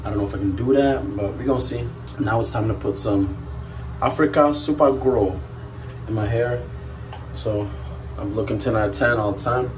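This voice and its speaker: A man's voice: lowish voice, moderate pace. A man in his twenties chatting casually and slangily to camera as a vlogger, in a laid-back manner. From South Sudan or Canada. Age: 20s. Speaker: vlogger, college soccer player. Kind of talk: casual vlog chat to camera. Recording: noisy outdoor and room vlog audio.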